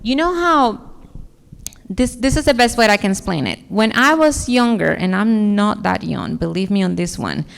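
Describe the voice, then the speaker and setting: A woman's voice: mid-range voice, expressive, moderate pace, gravelly. Dominican lady answering q&a at a conference, earnest, hunts for words, big echoey hall. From Dominican Republic. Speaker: conference speaker. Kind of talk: audience Q&A answer.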